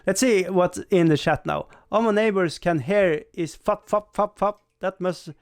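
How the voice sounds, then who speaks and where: A young man speaking English, his voice sitting a little high for a man. He rambles casually to his livestream chat, stumbling over restarts and laughing at himself. The sound is close and dry, with no room echo. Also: unhurried. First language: Swedish. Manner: rambling, self-deprecating, frequent stammered restarts and laughs. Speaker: livestream host.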